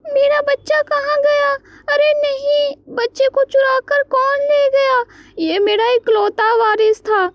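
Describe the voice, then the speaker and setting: A woman voicing an anguished, pleading mother crow searching for her chick in an animated moral story. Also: extremely high pitch, slow, few pauses, no echo, muffled recording, voice pushed up. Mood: distraught. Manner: pleading, lamenting.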